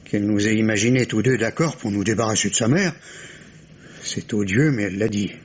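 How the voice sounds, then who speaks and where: A man's voice: lowish voice, a little fast, close to an everyday voice. An actor playing an anguished, grave man torn between love and suspicion, in the theatrical style of a 1950s radio mystery. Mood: troubled. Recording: dull, noisy old radio recording.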